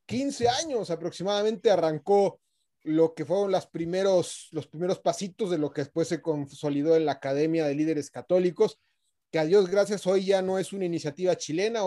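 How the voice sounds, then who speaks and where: A man's voice: a little high, dark tone. A man in his forties with a Mexican accent, a guest answering interview questions earnestly and fluently. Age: 40s.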